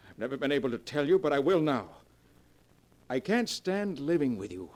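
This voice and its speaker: An actor playing a troubled, exasperated suburban husband in a melodramatic 1940s radio drama style. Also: male voice, medium pitch, big pitch swings, moderate pace, darkish tone, lightly acted. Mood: bitter, fed up. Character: troubled suburban husband, smothered by his wife's love. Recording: old 1940s radio broadcast recording.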